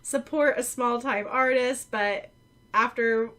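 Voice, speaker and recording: Giggly cohost girl, kinda high voice, rambly casual podcast chat. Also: steady tone, slow, a bit bright. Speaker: podcast co-host. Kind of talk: casual book-club chat.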